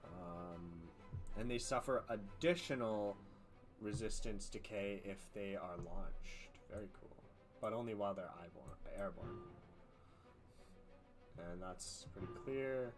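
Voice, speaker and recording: A man with a fairly low voice gives casual commentary on a game as he streams it. He reasons aloud in a loose, profane way, with music clearly audible behind him. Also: slow. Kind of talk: casual live gameplay commentary.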